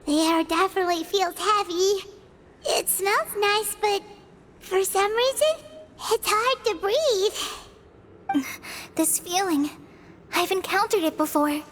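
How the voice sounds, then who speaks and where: A woman voicing a gentle, caring young female healer in a game, speaking softly and earnestly. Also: extremely high pitch, slow pace, long pauses, big-room sound, slight background noise, full character voice. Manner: soft, earnest, concerned. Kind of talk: voiced game dialogue.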